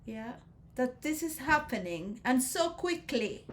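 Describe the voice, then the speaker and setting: High-voiced woman musing slowly. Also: very animated, a little hiss or hum. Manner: swings between soft musing and loud emotional outbursts. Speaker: speaker addressing role players. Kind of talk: rambling philosophical monologue.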